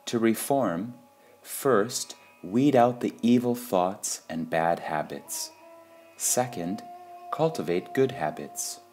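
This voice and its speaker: A man reads short spiritual sayings in a measured, deliberate devotional style, pausing between phrases. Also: fairly low pitch, animated, darkish tone. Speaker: reader of spiritual quotations. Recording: music under the voice. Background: background music.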